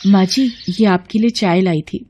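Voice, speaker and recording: A woman voicing a meek, kind young daughter-in-law in a cartoon family drama, speaking softly and respectfully. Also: mid-range voice, normal speed, loud music behind the voice, lightly acted. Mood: timid, respectful. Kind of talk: Hindi cartoon family melodrama acting.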